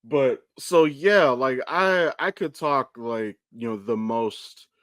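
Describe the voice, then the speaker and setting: A man's voice: medium pitch, slow, fairly bright tone, no echo, clean studio sound. An American man in his thirties from Ohio hosting a casual podcast, talking candidly with rambling stories and plenty of profanity. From Ohio, United States. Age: 30s. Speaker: podcast host. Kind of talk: casual conversational podcast chat.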